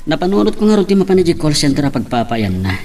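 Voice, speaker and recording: A man's voice: a little high, very animated, quick pace, darkish tone, recorded from a distance. A man with an Ilocano accent playing a young buddy and friendly love rival in an audio drama. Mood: casual. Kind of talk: Ilocano radio-style love drama acting.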